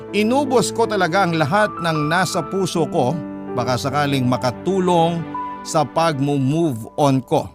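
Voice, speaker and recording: A man narrating a dramatic first-person story as a radio storyteller. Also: a little high. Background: background music.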